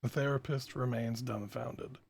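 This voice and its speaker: Gravelly, husky man reading a screenplay. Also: medium pitch, steady tone, a little slow. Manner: self-deprecating, informal. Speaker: writer-director reading stage directions. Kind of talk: screenplay table read narration.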